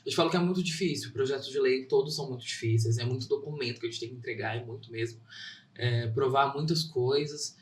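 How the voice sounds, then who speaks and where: A man's voice: medium pitch, fast, recorded from a distance, a little hiss or hum. A young man from São Caetano, a theater actor who speaks as a guest in an earnest, heartfelt way. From São Caetano, Brazil. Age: young adult. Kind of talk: interview answers. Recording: roomy sound, a bit off-mic.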